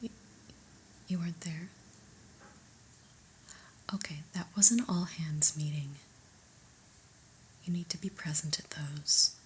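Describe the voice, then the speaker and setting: Slow, whispering woman as an unimpressed boss. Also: deep voice, slight background noise, low-quality recording. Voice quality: breathy whisper. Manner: deliberate, mildly stern, deadpan. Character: unimpressed new office boss, fussy and exasperated. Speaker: ASMR roleplay performer. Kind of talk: whispered ASMR roleplay monologue.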